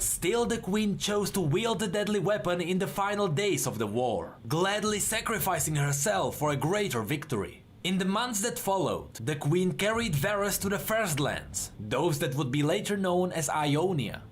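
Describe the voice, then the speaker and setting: High-pitched man narrating dramatic fantasy lore. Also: big pitch swings, normal speed, hardly any pauses, slight background noise.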